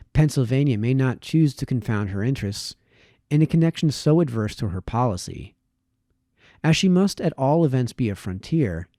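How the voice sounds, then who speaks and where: A man reading formal essays aloud as narration, with measured, emphatic phrasing and deliberate pauses. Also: medium pitch, mellow, no echo, clean studio sound. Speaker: amateur reader of historical essays.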